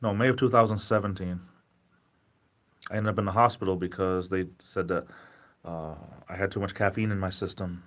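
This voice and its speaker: A man with a Rhode Island accent and a fairly low voice, chatting into his webcam from home. He rambles in a friendly way and pokes fun at himself as he answers viewers. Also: steady tone, normal speed, fairly bright tone. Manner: rambling, self-deprecating, chatty. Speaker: livestream host. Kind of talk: casual livestream chat.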